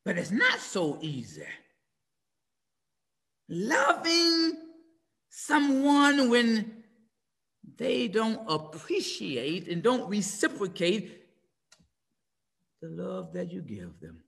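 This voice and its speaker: A middle-aged man preaching an impassioned sermon from home over a video call, swinging from shouts down to hushed near-whispers in a repetitive cadence. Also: sits high, wildly swooping pitch, extremely slow, creaky, pauses often. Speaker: pastor. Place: home room with window blinds. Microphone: video call webcam. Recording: video call audio with long reverb.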